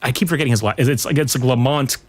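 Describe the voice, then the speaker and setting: A man's voice: medium pitch, fast talker, soft background music. A man talking casually as a podcast guest, in an informal way with rambling asides. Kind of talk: casual pop culture chat.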